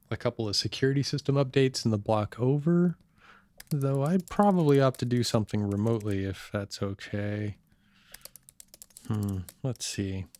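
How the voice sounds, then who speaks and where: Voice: mid-range voice, darkish tone, no room sound, lightly acted. A performer playing a cynical, jaded computer hacker who lives off-grid, talking in a dry, blunt, casual way in an audio drama. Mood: relaxed. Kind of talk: naturalistic audio drama dialogue.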